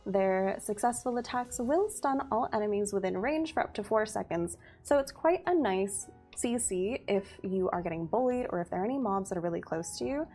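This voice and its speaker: A woman's voice: medium pitch, animated, normal speed, few pauses, warm tone, breathy edge, faint music behind. A woman, a community manager, presenting game update details on a livestream in an upbeat, giggly manner. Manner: upbeat, giggly, reads skill details steadily.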